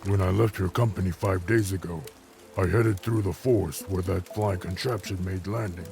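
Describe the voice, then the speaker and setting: A man voicing a gruff, grumbling dwarf adventurer with a gravelly, blunt delivery in a fantasy audiobook. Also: sits low, normal speed, a little mellow. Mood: sincere, gruff. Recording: music under the voice.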